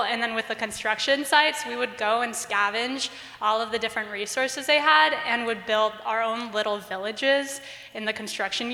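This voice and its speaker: A young woman from Bosnia and Herzegovina talks on a student panel, earnest and upbeat. Her voice sits a little high and sounds bright. The sound echoes with a long reverb around a large hall. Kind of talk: casual panel talk.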